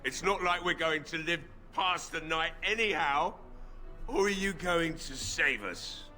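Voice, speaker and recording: A man's voice: a little high, measured pace, pushed and bright, soft background music. A man voicing a grieving, drunk middle-aged village blacksmith who sounds weary and bitter. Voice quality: bitter, weary, slurring drink-talk. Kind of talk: video game voice acting.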